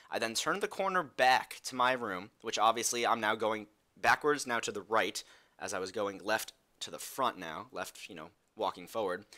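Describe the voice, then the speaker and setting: Young guy doing casual storytime, bright punchy voice, animated, acts out the dialogue. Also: lowish voice. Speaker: storytelling video creator.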